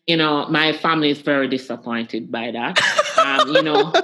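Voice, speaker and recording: A woman's voice: sits low, huge pitch swings, normal speed, fairly bright tone, low-quality recording. A woman from Jamaica with a Jamaican accent, talking candidly and animatedly as an interview guest, often repeating words for emphasis.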